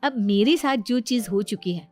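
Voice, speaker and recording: A woman's voice: on the high side, expressive, normal speed, close to an everyday voice. A woman playing a chatty, boastful young daughter-in-law in a moral story cartoon, speaking with emphatic self-assurance. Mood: breezy, smug. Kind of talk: animated Hindi moral story voice acting.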